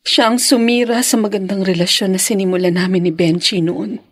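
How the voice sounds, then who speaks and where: A woman playing a wounded, weary young wife and mother in a Filipino radio drama, speaking in a breathy, hesitant, heavy-hearted way. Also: mid-range voice, fairly even intonation, a little fast, no room sound, studio quality, lightly acted. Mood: hurt, troubled.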